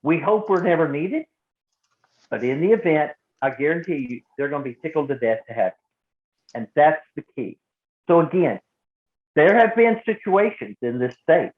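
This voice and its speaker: Male trainer, slightly high-pitched, emphatic and deliberate, teaching a group; thin phone-like sound. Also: expressive. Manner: emphatic, deliberate, with repetition for stress. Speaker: emergency radio volunteer trainer. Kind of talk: instructional talk to a group. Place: indoor room, live training session. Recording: roomy echo, phone-like narrow band.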